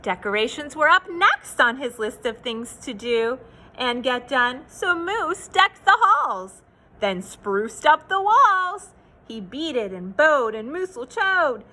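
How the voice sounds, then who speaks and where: A woman reading a children's picture book aloud in an expressive, playful, sing-song way, with asides to young listeners. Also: high voice, slow pace. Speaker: children's read-aloud storyteller.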